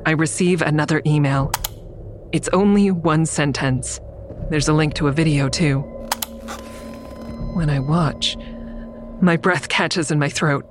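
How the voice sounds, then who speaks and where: A woman's voice: deep voice, measured pace, long pauses, noisy background. A woman acting an anxious, secretive character who is in over her head, narrating and speaking in the first person in an audio drama. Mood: resigned, uneasy.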